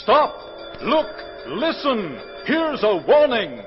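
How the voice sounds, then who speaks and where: A man delivers a 1940s radio advertisement with urgent emphasis. His voice is strikingly high and slow, with big swings in pitch. The sound is muffled and vintage. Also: wildly swooping pitch, music in the background. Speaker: commercial announcer. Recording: muffled old radio recording.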